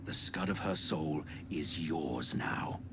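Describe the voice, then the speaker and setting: An actor playing a gruff, wry game trader who tells grim tales in a blunt, folksy way. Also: male voice, a bass voice, takes it slowly. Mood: grim storytelling. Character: gruff unhurried male trader, sardonic messenger telling grim soul stories. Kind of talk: video game character dialogue. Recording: constant noisy ambience behind voice.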